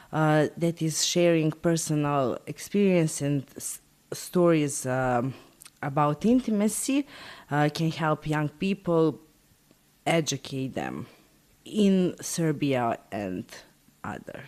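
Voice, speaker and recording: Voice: medium pitch, lively intonation, slow pace, a little mellow. An interviewer reading questions with some fillers.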